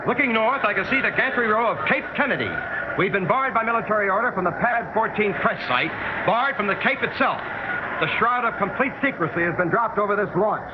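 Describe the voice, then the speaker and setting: An American actor playing an urgent, probing radio news correspondent in a vintage radio drama. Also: male voice, high voice, animated, a little fast. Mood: excited, urgent. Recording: noisy, muffled vintage radio recording.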